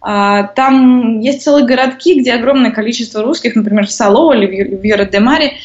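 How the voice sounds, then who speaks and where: A woman's voice: a little high, quick pace. Woman guest with hesitant, muffled audio. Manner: thoughtful, many hesitations. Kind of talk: conversational interview.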